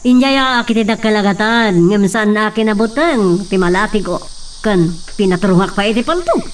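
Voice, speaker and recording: A woman's voice: medium pitch, expressive, normal speed, distant mic, slight background noise, natural voice. A woman playing a stern, exasperated wealthy mother in a radio drama.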